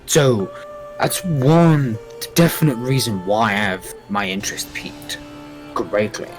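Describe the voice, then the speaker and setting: A man's voice: mid-range voice, lively intonation, takes it slowly, over music. A man co-hosting a casual gaming podcast, swearing freely and laughing often. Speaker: podcast co-host.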